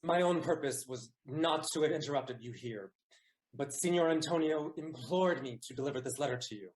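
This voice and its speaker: Man acting loyal gentleman messenger, medium pitch, grave, formal, Shakespearean audio drama. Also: moderate pace, natural voice, low-quality recording. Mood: courteous, sombre. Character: grave, loyal Venetian gentleman messenger bearing bad news.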